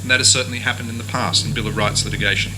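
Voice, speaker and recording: A man's voice: medium pitch, racing. Man closing a formal legal talk. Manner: formal, argued, steady. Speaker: conference speaker. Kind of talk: academic lecture. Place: university lecture hall. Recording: noisy conference room recording.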